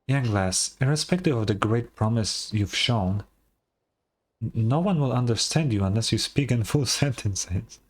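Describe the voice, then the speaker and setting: A man's voice: medium pitch, expressive, a little slow. A man voicing a wordy, optimistic adventurer in a video game with a theatrical way of talking. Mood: chiding. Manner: verbose, theatrical.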